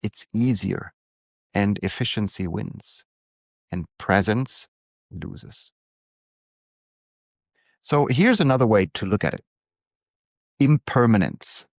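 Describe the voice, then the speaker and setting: A middle-aged man, speaking calmly and reflectively in a prepared monologue to his readers. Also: fairly low pitch, dry recording, studio quality. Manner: calm, unhurried, reflective. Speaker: newsletter author and coach.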